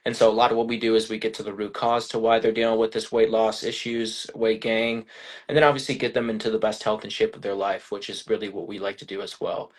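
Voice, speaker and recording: A low-pitched American man talks loosely on a live video call, circling back and repeating his phrases as he hosts. His voice stays in a narrow, level band. The sound is that of a computer mic. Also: level tone, quick pace. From United States. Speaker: podcast host. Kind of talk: casual live-stream chat.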